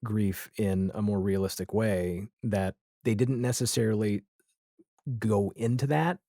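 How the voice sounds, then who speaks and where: A man's voice: on the low side, steady tone, unhurried, mellow, no echo, studio quality. A man hosting a podcast, chatting casually about television in a candid, wry and emphatic way. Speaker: podcast host.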